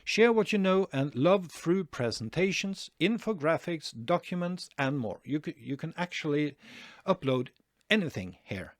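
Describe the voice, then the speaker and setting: A man's voice: on the high side, lively intonation, unhurried, no room sound, clean studio sound. A Norwegian man talking through a screen-share tutorial, hesitant, with many fillers and restarts. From Norway. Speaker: online marketing tutor.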